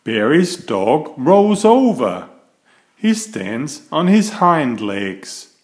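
A man reading a picture book aloud to young children in an expressive, deliberate storytelling manner. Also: fairly high pitch. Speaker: picture-book reader and author of children's stories.

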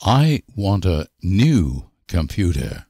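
A man reading short example sentences for language learners with deliberate, careful enunciation. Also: low-pitched, very animated, warm tone, breathy edge, no echo, clean studio sound. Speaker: language teacher.

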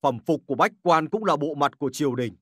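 A man voicing a dignified, exacting but gracious emperor in a historical cartoon, formal and deliberate in his authority. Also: fairly high pitch, animated, a bit bright, dry recording, clean studio sound. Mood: stately.

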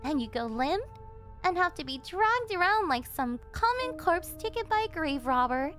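A woman's voice: very high voice, sing-song, moderate pace, a bit bright, exaggerated voice. A woman playing an obsessive young cafe server turned kidnapper in an anime-style roleplay, sugary, teasing and possessive. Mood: smug. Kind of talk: yandere ASMR roleplay acting, anime-style. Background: music.

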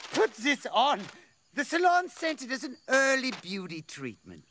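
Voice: sits high, big pitch swings, slow, a bit bright, a little hiss or hum, heavily acted. A performer voicing a sly, vain peacock villain in a cartoon, theatrical and grandiose. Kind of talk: animated cartoon villain acting.

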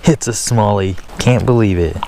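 A man's voice: on the low side, moderate pace, vocal fry. A man vlogging casually as he fishes from a kayak, exclaiming in slangy bursts, recorded outdoors on a camera he wears. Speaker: fishing vlogger. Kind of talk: casual excited chat while fishing. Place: outdoors on a kayak on an open lake. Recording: loud outdoor boat and water noise. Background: water and boat noise.

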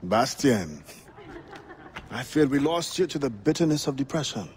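An actor playing a steadfast pirate turned assassin with a Caribbean accent in a video game, speaking formally and with composure. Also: male voice, mid-range voice, big pitch swings, normal speed, a little hiss or hum, close to an everyday voice. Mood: steady, encouraging. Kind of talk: dramatic video game acting.

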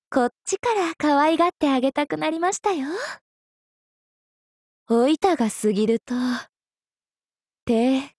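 An actress voicing a young sword maiden in an anime mobile game, polite and coy, with a teasing, possessively sweet manner. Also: female voice, high voice, expressive, takes it slowly, long pauses, a little mellow, no echo, clean studio sound, heavily acted. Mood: teasing, faintly menacing. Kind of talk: Japanese anime mobile game character lines.

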